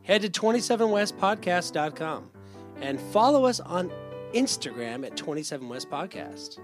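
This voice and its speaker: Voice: medium pitch, normal speed, faint music behind. A podcast co-host talking casually in a playful way and thanking the guest. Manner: animated, playful, fond of mimicking voices. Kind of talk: casual conversation.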